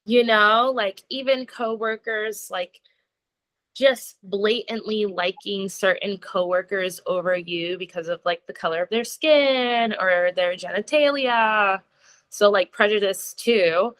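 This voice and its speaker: Chatty young American woman, bright and clear tone, casual talk over a video call, loose and filler-heavy. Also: mid-range voice, takes it slowly. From United States. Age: young adult. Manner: chatty, lots of "like" fillers, laughs while talking. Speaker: interview guest. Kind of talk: casual conversation.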